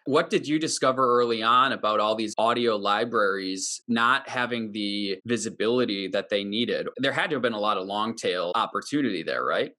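A man's voice: on the low side, moderate pace, hardly any pauses, sharp tone. A man acting as interviewer, speaking in a conversational questioning style.

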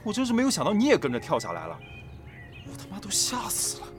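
A man's voice: high-pitched, wildly swooping pitch, fast, over music. A young man acting a brash, hot-headed, carefree teenage boy in a Chinese audio drama, casual and exclamatory. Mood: agitated.

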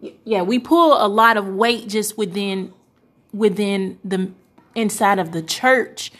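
A woman in her late twenties, a pastor's wife, answering interview questions earnestly with frequent pauses and fillers. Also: medium pitch. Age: 20s. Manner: earnest, hesitant with many fillers. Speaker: pastor's wife and church first lady. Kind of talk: interview answer, casual and reflective.

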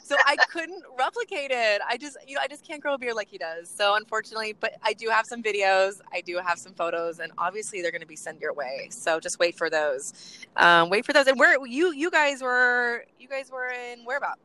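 A woman co-hosting from a car, bubbly and rambling, her voice worn low from chanting. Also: lively intonation, a little fast, sharp tone, low-quality recording. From US West Coast. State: voice getting lower from chanting. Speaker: co-host. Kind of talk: casual co-host chat, road trip update.

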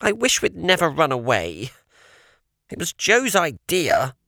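A man's voice: a little high, huge pitch swings, a little slow, gravelly, bright, forceful tone, no echo, studio quality, pitched above the performer's own voice, exaggerated voice. A man voicing a frightened young boy who whines and complains. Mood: upset, whiny. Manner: complaining, upset. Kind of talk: audiobook character voice.

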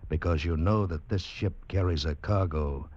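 A man's voice: deep voice, moderate pace, a little mellow. A man announcing a 1940s-style radio drama in dramatic, suspenseful scripted narration. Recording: muffled old radio recording.

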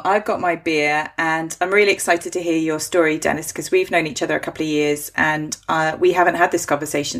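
Chatty woman podcast host with corny jokes. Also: low voice. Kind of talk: casual conversational interview.

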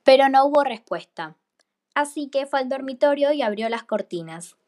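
Female storybook narrator, slightly high voice, scripted radio play delivery. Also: expressive, moderate pace, darkish tone.

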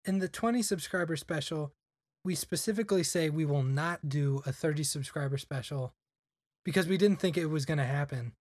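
A man's voice: a little high, brisk, darkish tone, no room sound, clean studio sound. A young man chatting casually on a podcast, rambling with lots of "like" fillers. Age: young adult. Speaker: podcast guest. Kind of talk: casual chat.

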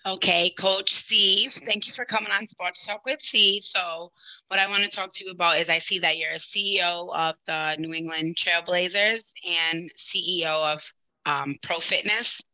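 A woman's voice: lowish voice, normal speed, pushed and bright, poor audio. A woman hosting a sports podcast, introducing the show and interviewing a guest in an informal, upbeat way.

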